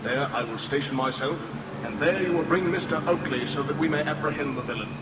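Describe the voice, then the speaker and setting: An actor playing an earnest, resolute sailor hero with formal, commanding delivery in a vintage radio melodrama. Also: male voice, a little high, a little fast, heavy background noise, natural voice. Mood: resolute, urgent. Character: earnest, resolute heroic sailor, wronged man. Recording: distant echoey vintage radio, heavy rain.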